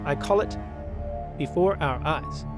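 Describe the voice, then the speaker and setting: A man introducing a radio theater story as its host, measured and ominous. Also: on the high side, music in the background. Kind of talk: scripted introduction.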